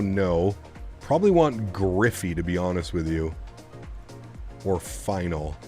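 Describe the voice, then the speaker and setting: A man talks through each step out loud in a relaxed, chatty way, often turning to his viewers to ask what they think. His voice sits in an ordinary middle pitch. Soft music plays faintly behind him. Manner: chatty, thinks aloud, asks viewers directly. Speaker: flight sim streamer. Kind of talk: casual live-stream commentary while programming a flight computer.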